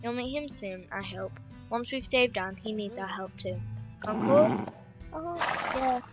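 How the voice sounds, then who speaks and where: A young woman casually commenting on a game while reading its dialogue aloud, rambling and croaky from just waking up. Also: on the high side, sing-song, unhurried, loud music behind the voice. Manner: chatty, rambling, reads game text aloud. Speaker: gaming YouTuber.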